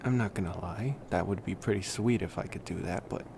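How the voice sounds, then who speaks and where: A man playing a laid-back, wry college-age vampire in an intimate ASMR roleplay, rambling casually and hesitantly with sighs and pauses. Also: sits low, gravelly, background noise. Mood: baffled, amused.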